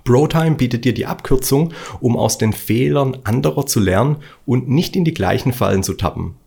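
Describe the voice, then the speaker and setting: Gravelly blunt Swabian podcast host. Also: male voice, medium pitch, expressive, moderate pace, dark tone. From Stuttgart. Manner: blunt, colloquial, preachy. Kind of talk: motivational solo podcast monologue.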